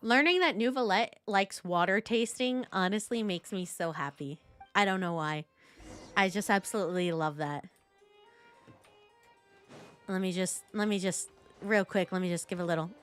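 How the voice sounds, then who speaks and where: A woman's voice: mid-range voice, moderate pace, pauses often, a bit bright, soft background music. A woman game streamer giving casual, playful, gushing live reaction commentary.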